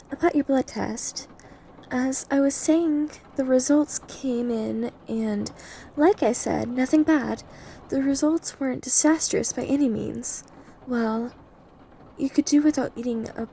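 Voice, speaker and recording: A woman playing an obsessive female vampire lab technician in an ASMR roleplay, coy, softly teasing and eerily polite as she explains herself to the listener. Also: fairly high pitch, gravelly, slight background noise, poor audio.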